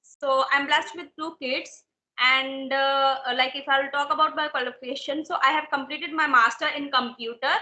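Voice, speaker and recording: A woman hosts a polite, hesitant chat that is full of "uh" pauses. Her voice is very high and bright. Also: fairly even intonation. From Himachal Pradesh, India. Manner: polite, hesitant, with many "uh" fillers. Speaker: host of an English-learning channel. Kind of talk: interview conversation.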